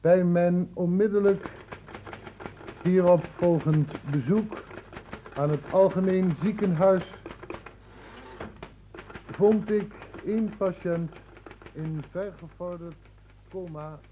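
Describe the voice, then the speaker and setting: An actor playing a brisk, sceptical public health medical inspector in a radio play. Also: male voice, high-pitched. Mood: formal report. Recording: tinny, noisy old radio play recording.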